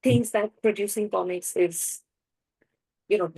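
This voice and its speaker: Husky woman in a dry, close recording. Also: mid-range voice, unhurried, darkish tone, dry recording. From India. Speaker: discussion group participant. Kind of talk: casual discussion from personal experience.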